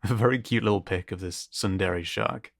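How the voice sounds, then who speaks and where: A man's voice: fairly low pitch, moderate pace, gravelly, dry recording, clean studio sound. A man presenting a showcase as its host, in scripted commentary that is appreciative and descriptive. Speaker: host of an art contest showcase.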